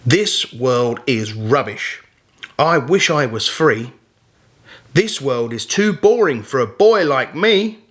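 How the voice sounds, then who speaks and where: Discontented young boy for a storybook, acted by a man: whiny, sulky, dramatic complaints, drawn-out pace. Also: mid-range voice, animated, a bit bright, slightly rough, heavily acted. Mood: sulky, complaining.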